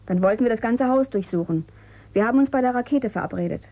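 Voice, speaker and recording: A woman's voice: fairly high pitch, animated, fast talker, muffled recording. An actress playing a defiant, cool-headed girl of eighteen in a radio drama, with a pert, questioning manner. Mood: cool, probing. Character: defiant, cool-headed eighteen-year-old female space tourist. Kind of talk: German radio drama acting.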